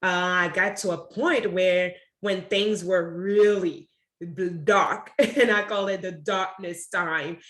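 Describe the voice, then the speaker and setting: Low-voiced woman in an echoey room. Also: fairly even intonation, a bit bright. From Haiti. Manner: slow, reflective, with laughs. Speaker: guest, leadership coach. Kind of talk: personal story in an interview.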